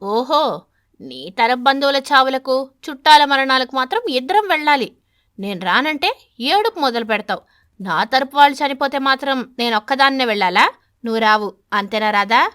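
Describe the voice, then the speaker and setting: A woman voicing an irritable, argumentative husband pigeon in an animated story, grumbling with exasperation. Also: high voice, normal speed, clean tone, no echo, studio quality. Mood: grudging, annoyed.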